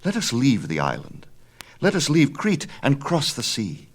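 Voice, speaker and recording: A man voicing an eager, headstrong youth, pitched up. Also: a little slow, full character voice. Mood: excited, persuasive. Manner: insistent, persuasive, excitable. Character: eager, headstrong young son pleading with his father. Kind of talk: dramatic storybook reading.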